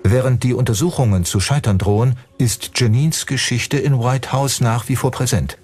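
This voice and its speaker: Male narrator for a true-crime documentary, medium pitch, faint music underneath. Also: moderate pace, a little mellow.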